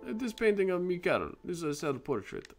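A man's voice: high-pitched, big pitch swings, brisk, darkish tone, soft background music. A man hosting a let's play, chatty and musing aloud as he reads on-screen text. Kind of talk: gaming commentary.

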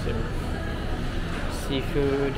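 Man, casual buffet vlog, relaxed listing, mid pitch, loud music behind, handheld camera. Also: extremely slow. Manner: relaxed, listing items as he walks. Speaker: food vlogger. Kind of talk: casual walk-through narration. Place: busy indoor barbecue buffet hall.

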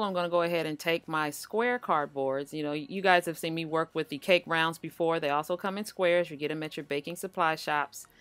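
Cooking-channel host, middle-aged woman, low voice, chatty and reassuring. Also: fairly bright tone. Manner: chatty, reassuring, speaks to viewers as "you guys". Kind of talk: relaxed voice-over baking tutorial.

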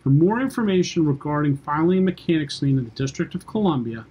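Husky-voiced man explaining law. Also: fairly high pitch, fast, low-quality recording. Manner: steady, formal reading. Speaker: lawyer. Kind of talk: scripted explainer to camera. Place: office with window, indoors.

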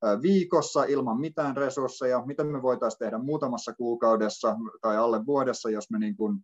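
A man on a video call, speaking haltingly with many fillers. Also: mid-range voice. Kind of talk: casual discussion. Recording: dull lo-fi video call audio.

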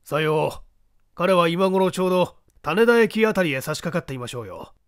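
A man voicing a cunning gentleman thief in an audiobook, speaking politely and assertively while posing as a victim. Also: high voice, expressive, moderate pace, dry recording, clean studio sound. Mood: persuasive. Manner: polite, smooth-talking, assertive. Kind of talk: audiobook character acting.

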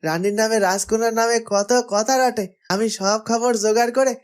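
A woman's voice: on the high side, fairly even intonation, normal speed, close to an everyday voice. A woman voicing a loyal, cheerful young street-food seller in a cartoon. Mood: determined.

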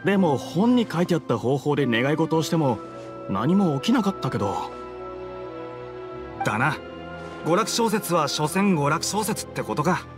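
An ordinary young townsman from an anime game, talks in a high, animated male voice. He muses earnestly, with clear music behind him. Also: normal speed, long pauses. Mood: musing. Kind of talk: Japanese anime game voice acting.

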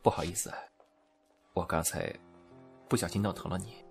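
A man's voice: low voice, normal speed, vocal fry, faint music behind. A man playing an impulsive, lovelorn young man in an amateur audio drama, informal and emotional, by turns teasing and pleading. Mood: rueful, pleading.

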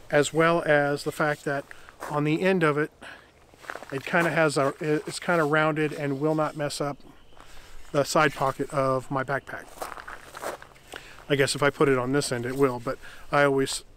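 Middle-aged guy doing a gear review out in the woods, kinda high voice, rambly and relaxed, bit of background noise. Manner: relaxed, rambling, self-correcting explanations. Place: outdoors in a winter forest beside a truck.

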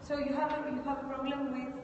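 A woman leading a group workshop, asking halting, informal questions in a large rehearsal hall. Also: high-pitched, little pitch movement, highs cut off, noisy background. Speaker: workshop facilitator. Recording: echoey hall, far from mic.

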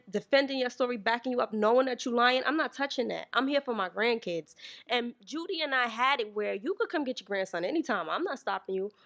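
A young woman from Spanish Harlem with a New York accent, talking bluntly and with energy as a podcast guest. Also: fairly high pitch. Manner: blunt, animated storytelling. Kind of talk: casual chat. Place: small stage studio, indoors. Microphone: stand mic.

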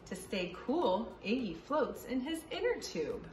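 A middle-aged woman speaks to preschoolers in a lively, swooping voice that sits fairly high. She takes her time and enunciates each phrase like a teacher at story time. The room adds an audible echo. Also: measured pace, soft background music. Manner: sing-song, clearly enunciated, addressing young children with questions. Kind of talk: children's story reading and letter lesson. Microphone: camera a few feet away.

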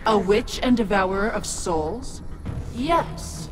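A woman's voice: medium pitch, lively intonation, slow pace. A woman voicing an imperious, mocking ancient soul-devouring witch in a video game. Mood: menacing. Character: haughty, menacing ancient witch who devours souls. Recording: loud music behind studio game voice.

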